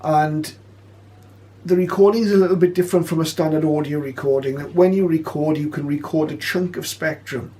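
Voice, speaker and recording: A man presenting an informal spoken tutorial, explaining step by step with hesitant pauses. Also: fairly high pitch, steady tone, dark tone, slightly rough. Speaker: presenter of a shortwave radio tutorial.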